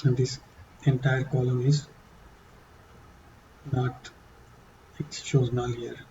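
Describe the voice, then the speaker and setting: A man with an accent walking through a software demo in a halting, casual way, with many fillers and restarts. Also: mid-range voice, little pitch movement, highs cut off, a little hiss or hum. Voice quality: non-native English accent. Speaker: tutorial presenter. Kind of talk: casual screen-share walkthrough.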